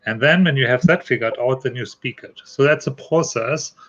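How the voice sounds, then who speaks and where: A man's voice: mid-range voice, fairly bright tone, muffled recording. A man from Germany with a heavy German accent, explaining things step by step in a casual chat. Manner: explains step by step, halting non-native phrasing. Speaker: guest. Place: Iowa.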